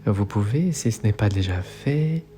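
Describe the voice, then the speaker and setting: Slow, low-voiced man guiding meditation. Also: animated. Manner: soothing, instructional, with measured phrasing. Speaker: guided meditation instructor. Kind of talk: guided relaxation meditation, yoga nidra. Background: soft music.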